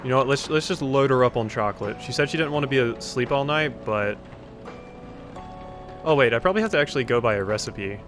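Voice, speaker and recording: A man doing casual let's play commentary, thinking out loud as he plays and reads a visual novel. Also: medium pitch, lively intonation, fast talker. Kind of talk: casual game commentary, reading visual novel dialogue aloud. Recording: music behind the voice. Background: game music.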